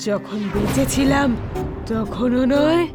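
A woman voicing a vengeful, menacing ghost woman in an animated horror story. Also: sits high, slow. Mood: vengeful menace. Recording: loud music bed behind voice.

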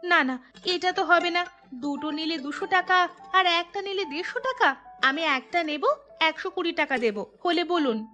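A woman playing a practical, chatty village housewife in a Bengali cartoon, speaking in an expressive, homely way. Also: extremely high pitch, normal speed, faint music behind. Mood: haggling.

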